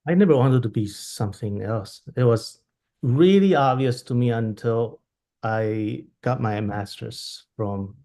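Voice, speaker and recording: A man in his thirties from Taiwan, answering interview questions over a video call in a thoughtful way, often pausing and restarting as he looks for words. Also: mid-range voice, dark tone. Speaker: violinist guest. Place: home room with plain wall. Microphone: laptop webcam on video call.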